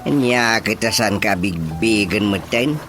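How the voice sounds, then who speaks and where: A man's voice: on the low side, lively intonation, measured pace, a bit bright, faint music behind. A man with a Filipino accent playing a folksy, easily muddled village chairman in a comedic radio drama. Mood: bemused.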